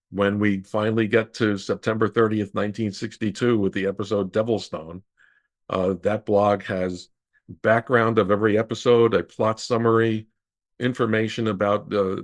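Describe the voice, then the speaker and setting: Male interview guest, lower register, measured academic delivery with pauses to think. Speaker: interview guest, radio historian. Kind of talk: conversational explanation.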